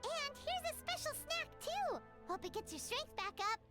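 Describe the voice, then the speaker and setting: A woman's voice: very high-pitched, big pitch swings, normal speed. A woman voicing a cheerful, childlike little companion sprite in a game fan dub, bubbly and exclamatory. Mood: excited, cheerful. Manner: bubbly, exclamatory, refers to self by name. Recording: loud music behind voice.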